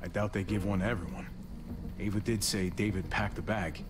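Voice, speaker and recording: Low-voiced, level-headed male survivor, played by a man. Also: a little fast, background noise, lightly acted. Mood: focused, practical. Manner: calm, earnest, plain-spoken. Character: level-headed, reassuring adult male survivor, quietly determined. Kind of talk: naturalistic dramatic game acting. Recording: music behind the voice.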